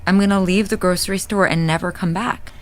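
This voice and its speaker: A woman playing a grieving, wry hospice nurse in a naturalistic audio drama, confiding in a halting, candid way. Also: medium pitch, fairly even intonation, natural voice. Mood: confiding, bitter. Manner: halting, confiding, dry-humored.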